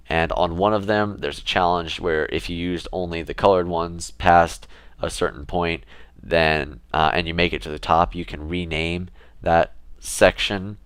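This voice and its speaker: A young man talking casually to his camera in a relaxed, rambling way, with fillers and restarts. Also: deep voice, clear, resonant tone, no room sound. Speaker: vlogger.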